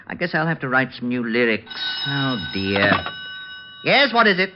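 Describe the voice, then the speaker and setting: A man's voice: medium pitch, sing-song, moderate pace, noisy background, natural voice. An actor playing a flighty, cheeky eccentric man in old-time radio comedy, prissy and theatrical, prone to chatter and song. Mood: cheeky, playful. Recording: muffled old radio drama recording.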